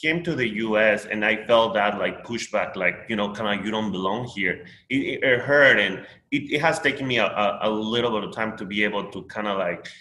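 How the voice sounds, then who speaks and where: A man's voice: lowish voice, a little fast, hardly any pauses, bright, forceful tone, reverberant, a little hiss or hum. A man from Peru with a Peruvian Spanish accent, Spanish his first language, talking in a rambling casual conversation full of fillers and restarts.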